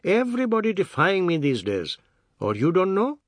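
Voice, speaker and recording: A man narrating puts on a middle-aged bully of an uncle from a storybook. His voice rides high and swings widely, husky and sneering. He boasts and taunts in colloquial grammar, all jovial menace. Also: wildly swooping pitch, normal speed, dry recording, heavily acted. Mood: aggrieved, taunting. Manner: non-standard colloquial grammar, taunting rhetorical questions.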